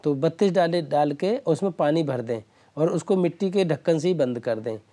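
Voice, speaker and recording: A man's voice: a little high, moderate pace, mellow. A man speaking as a doctor on a health call-in show, giving step-by-step advice in an instructive way.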